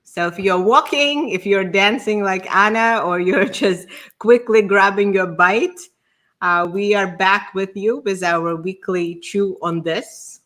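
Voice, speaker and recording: A woman's voice: medium pitch, slow, fairly bright tone. A woman co-hosting a live stream, giving conversational startup advice as a founder. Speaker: show co-host and startup founder.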